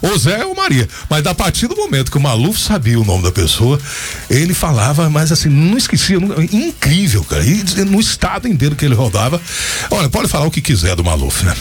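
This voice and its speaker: A man's voice: a little high, huge pitch swings, brisk. A Brazilian man hosting a radio show, chatting colloquially, telling anecdotes and reading ads live. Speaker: radio host. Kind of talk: casual chat with live ad reads. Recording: radio talk over background music. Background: music.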